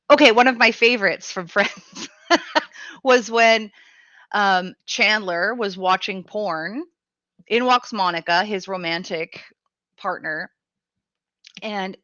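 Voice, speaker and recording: A woman radio host who is sick with a cough, talking frankly and casually and swearing now and then. Her voice is bright and forceful but sits right on the mic, with a dry, dull, lo-fi sound. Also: medium pitch, dry recording. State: sick with a cough and chest tightness. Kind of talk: casual chat reading listener comments. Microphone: close studio mic.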